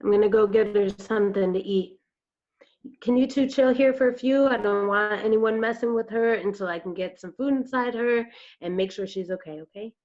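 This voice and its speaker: A woman's voice: mid-range voice, normal speed, darkish tone, lightly acted. A woman in a play reading over video call, playing a tender, folksy caretaker who soothes and coaxes. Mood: caring, practical. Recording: dull video-call audio.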